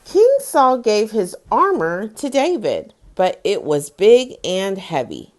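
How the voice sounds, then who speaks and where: A woman in her thirties hosting bedtime stories for children, with exaggerated, deliberate phrasing as she reads to young listeners. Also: medium pitch, huge pitch swings, a little mellow. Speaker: host of a children's bedtime story series. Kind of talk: children's read-aloud, storytelling to young listeners. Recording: music behind the voice. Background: music.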